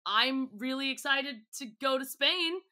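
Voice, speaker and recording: Bright, high-voiced, enthusiastic woman. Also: measured pace. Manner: gushing, enthusiastic, informal fan talk. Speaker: podcast guest. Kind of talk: casual chat.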